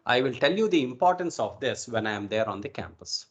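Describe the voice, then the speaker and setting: A man's voice: medium pitch, animated, brisk. A man with an Indian accent giving an informal lecture as an instructor on an online call, often checking in with okay and right. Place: online video call recording.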